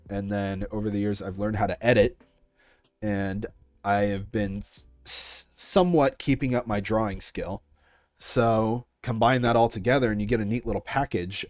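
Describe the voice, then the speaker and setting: A man's voice: on the low side, darkish tone, no echo. A man in his twenties answering interview questions about his creative work in a casual way, thinking aloud as he goes. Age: 20s. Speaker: creator being interviewed about an audio project.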